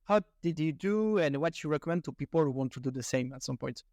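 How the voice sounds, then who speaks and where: A man's voice: on the high side, expressive, quick pace, dark tone, dry recording. A man hosting a podcast, asking long, rambling questions in loose, non-native phrasing. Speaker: podcast host. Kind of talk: casual interview questions.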